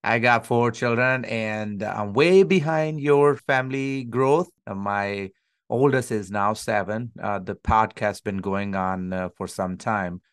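Man in his thirties, pastor hosting a chatty podcast interview, low voice, lots of "uh". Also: takes it slowly, clear, resonant tone. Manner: warm-up chatter with many uh fillers, slightly formal phrasing. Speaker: podcast host and senior pastor. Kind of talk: casual interview.

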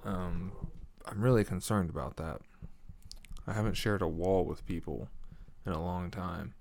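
A young man with a deep voice hosting a casual solo podcast, laid-back and swearing often, chuckling at his own stories. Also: fairly even intonation, unhurried, a little mellow. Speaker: podcast host. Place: small home room with foam on the walls. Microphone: studio mic at a desk.

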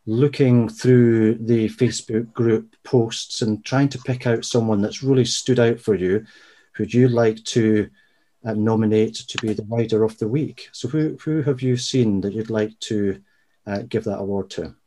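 A man hosting a podcast in a casual, hesitant manner, full of fillers. His voice is mellow and relaxed. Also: lowish voice, a little slow. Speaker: podcast host. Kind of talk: casual chat.

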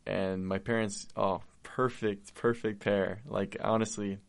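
Low gravelly young man, earnest. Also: little pitch movement. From Argentina. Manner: earnest, heartfelt, halting with restarts. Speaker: podcast guest. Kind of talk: casual conversation.

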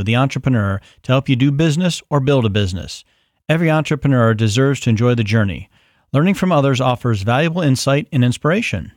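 A man's voice: mid-range voice, dry recording, studio quality. A man hosting a podcast, introducing it and chatting casually in an interview. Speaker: podcast host.

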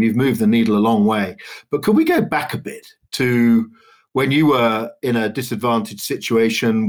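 A man co-hosting a podcast, giving approving comments and asking long, measured questions. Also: on the low side. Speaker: podcast co-host. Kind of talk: interview questions.

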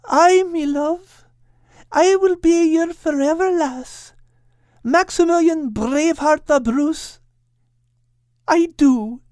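A man's voice: very high voice, takes it slowly, pauses often, a little mellow, no room sound, studio quality, pitched above the performer's own voice. A man voicing a devoted, spirited female Scottish terrier with a Scottish accent in an audiobook. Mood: loving, earnest. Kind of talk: audiobook character voice.

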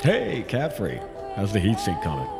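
Worried science boss, sarcastic, animated intonation, echoey room, audio drama. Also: male voice, lowish voice, moderate pace, vocal fry, over music, full character voice. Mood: anxious, exasperated. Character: anxious, exasperated male boss of a science team. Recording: echoey reverberant lab, faint noise.

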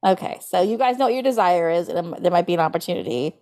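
A woman's voice: on the low side, expressive, quick pace, warm tone. A woman co-hosting a casual podcast, talking in a playful, colloquial, wry way. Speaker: podcast co-host. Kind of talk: casual chat about horoscopes.

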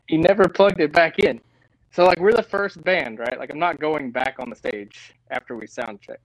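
A young man from Tennessee, a lead singer telling stories in a casual interview, animated and laughing often. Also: fairly high pitch, normal speed, dry recording, poor audio.

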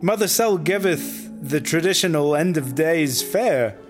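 Casting: dignified marsupial cult elder for comedy audio drama; measured, formal delivery, higher-set voice. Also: male voice, expressive. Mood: solemn, proud. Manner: formal, ceremonious, pompous. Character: solemn male possum elder of an underground sect. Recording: music behind the voice.

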